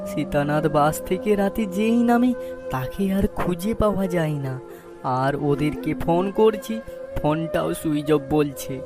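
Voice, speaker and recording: A woman's voice: low-pitched, lively intonation, moderate pace. A woman voicing an anxious village aunt in a cartoon story. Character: anxious aunt waiting for overdue young relatives. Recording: prominent music behind the voice. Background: background music.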